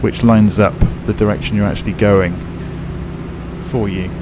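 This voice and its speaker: A man streaming a flight simulator, explaining cockpit instruments in a rambling, self-correcting way, his voice croaky and tired from a late night. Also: fairly low pitch, fairly even intonation, moderate pace, noisy background. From England. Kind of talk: casual live-stream commentary.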